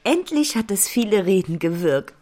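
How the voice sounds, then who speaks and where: An actress playing a cheerful, affectionate wife in a radio drama. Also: female voice, mid-range voice, very animated, moderate pace, warm tone, natural voice. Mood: cheerful, relaxed. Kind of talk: German radio drama acting.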